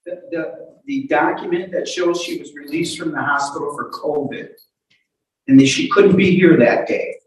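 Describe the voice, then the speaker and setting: A man working as a lawyer in a courtroom, questioning in a halting, repetitive, insistent way. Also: on the high side, slight background noise. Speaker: defense lawyer questioning a witness. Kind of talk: courtroom cross-examination. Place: indoor courtroom. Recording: echoey courtroom, distant microphone.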